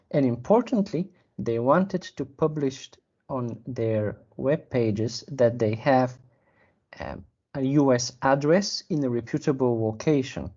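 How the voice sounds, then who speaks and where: A man, a sales manager explaining a client case in a measured, careful way with pauses to find words. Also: medium pitch, a little mellow, dull audio. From Bulgaria. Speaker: sales and business development manager. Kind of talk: business interview.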